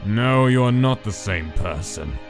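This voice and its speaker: A man playing a cold, obsessive scientist who dictates an experiment log in a deliberate, clinical manner for a dramatic comic dub. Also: fairly low pitch, animated, gravelly. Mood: irritated, clinical. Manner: slow, deliberate, clinical, detached. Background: music.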